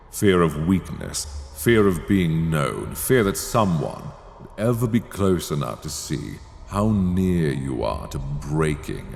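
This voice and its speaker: Man acting a deep, slow, menacing sorcerer professor. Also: slight background noise, exaggerated voice. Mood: intrigued, ominous. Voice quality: low, creaky. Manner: deliberate, condescending, silky, teasing, formal diction. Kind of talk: slow dark fantasy ASMR roleplay acting. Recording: long cavernous reverb.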